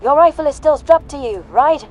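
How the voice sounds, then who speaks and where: A man's voice: extremely high pitch, brisk, soft background music, voice pushed up. A man voicing a tough, blunt, commanding human woman soldier in a science fiction audio story. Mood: brisk, tactical.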